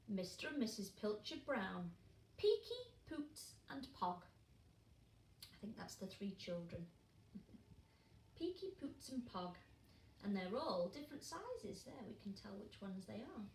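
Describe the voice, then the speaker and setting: A woman teacher reading a picture book aloud to children, gently asking them questions as she goes. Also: mid-range voice, far from the mic, a little hiss or hum. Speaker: primary school teacher. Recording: roomy sound from off the mic.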